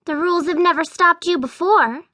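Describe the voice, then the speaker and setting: A woman's voice: extremely high pitch, moderate pace, pushed and bright, no echo. A woman voicing a tiny, knowing, teasing female fairy in a full-cast audiobook, pert and needling.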